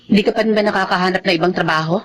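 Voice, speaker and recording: A woman's voice: medium pitch, steady tone, rapid-fire, a little hiss or hum, close to an everyday voice. A woman acting in a Filipino drama as a fed-up, struggling wife and mother who nags and reproaches. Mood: frustrated, reproachful. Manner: nagging, reproachful.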